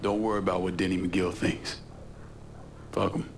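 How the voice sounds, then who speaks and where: An actor playing a tough young man with a relaxed, plain-spoken, streetwise manner in a video game cutscene. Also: deep voice, normal speed, a little hiss or hum. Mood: reassuring. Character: laid-back, tough young male outsider, loyal friend.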